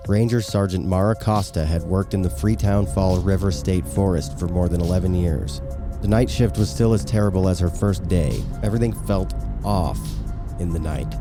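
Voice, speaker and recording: Male narrator, low-pitched, scripted horror storytelling over clearly audible music.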